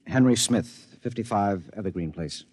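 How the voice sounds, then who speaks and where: An actor playing an evasive, flustered husband who hems and hesitates, in 1950s radio drama style. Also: male voice, on the low side, normal speed, a little mellow, slightly rough. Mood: nervous eagerness. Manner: hesitant, distracted, hemming.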